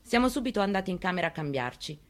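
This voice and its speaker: A woman's voice: on the low side, animated, rapid-fire, close to an everyday voice. A woman performing a dramatic monologue reading as a haunted, plainspoken mother recounting her story. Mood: plain recollection. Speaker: actress in a staged reading.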